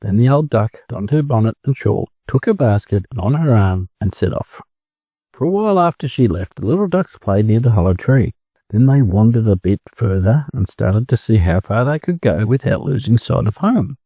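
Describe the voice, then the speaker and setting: An Australian man narrates a children's story for learners. His voice is mellow with expressive, varied pitch, recorded close and dry. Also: moderate pace, breathy edge, dry recording.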